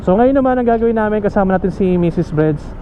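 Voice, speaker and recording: A man's voice: high-pitched, animated, rapid-fire, lo-fi sound, slight background noise. A man with a Filipino accent talking casually as a motorcycle vlogger, in a tutorial style. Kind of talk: casual bilingual tutorial talk.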